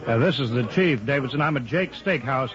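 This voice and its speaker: A man with an American accent acting a busy, courteous chief engineer in a 1950s radio drama, brisk and affable. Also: mid-range voice, animated, fairly bright tone, lightly acted. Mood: explaining, businesslike. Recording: muffled, noisy 1950 radio broadcast.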